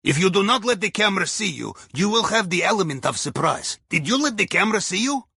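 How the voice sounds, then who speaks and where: A sly Russian ex-navy submariner guiding a crew through heists in a video game. His voice is high for a man and husky, swinging widely in pitch. He talks in a wry, conspiratorial way with a Russian accent. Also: big pitch swings, fast, sharp tone, no echo, studio quality, full character voice. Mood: conspiratorial warning. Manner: wry, conspiratorial, with foreign phrasing. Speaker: mission guide over the radio.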